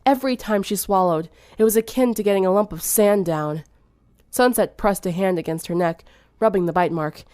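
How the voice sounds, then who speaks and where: A woman's voice: medium pitch, normal speed, darkish tone, no room sound, clean studio sound. A woman narrating fan fiction in a dramatic storytelling style.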